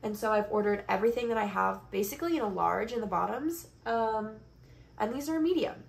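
A woman's voice: medium pitch, expressive, brisk, gravelly. A woman in her twenties with a congested voice, chatting casually and informally as a vlogger filming on a phone in a bedroom. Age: 20s. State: congested from allergies. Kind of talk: casual haul chat. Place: bedroom, indoors. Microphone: phone camera at about arm's length or further. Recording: noticeable background noise, phone recording.

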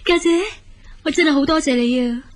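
An actress playing a young, grateful younger sister in a Cantonese radio drama, earnest, tentative and deferential. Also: female voice, sits high, a little fast, natural voice.

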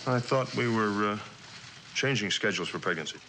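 A man's voice: fairly low pitch, expressive, a little fast, sharp tone. A man playing a stern, curt air force general with an authoritative, skeptical manner in a vintage suspense drama. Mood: stern, brusque. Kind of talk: mid-century suspense drama acting. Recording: noisy vintage drama soundtrack.

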